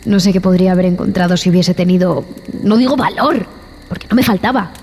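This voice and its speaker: An actress playing a frightened, repulsed expedition zoologist, narrating a horror audio drama in a deliberate, theatrical way with dramatic pauses. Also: female voice, fairly low pitch, steady tone, a little mellow, a little hiss or hum, lightly acted. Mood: haunted, fearful. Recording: long reverb, music behind.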